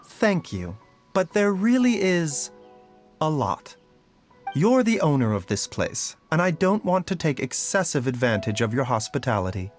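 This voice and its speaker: An actor playing a gentle, scholarly doctor in a video game, speaking in a polite, measured and softly formal manner. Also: male voice, a little high, big pitch swings. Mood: courteous, composed. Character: gentle, courteous adult male doctor, calm and scholarly. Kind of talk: scripted game voice lines. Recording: music behind the voice.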